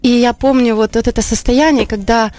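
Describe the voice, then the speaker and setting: A woman from Ukraine, talking as an interview guest in a halting, reflective, conversational way. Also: a little high, steady tone, dark tone. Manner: halting, reflective, frequent filler phrases. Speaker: interview guest, singer-songwriter. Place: radio studio.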